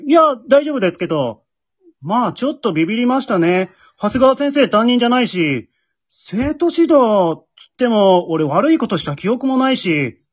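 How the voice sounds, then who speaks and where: An amateur radio-drama performer playing a bewildered, blunt male high school student who gives incredulous, deadpan retorts as the straight man. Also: normal speed, highs cut off. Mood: polite, uneasy.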